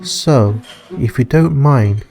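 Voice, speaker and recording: An emotionless, prim woman from a visual novel, voiced by a man. She speaks slowly in wordy, formal, deadpan phrases over faint music. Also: medium pitch, gravelly. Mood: prim, unimpressed.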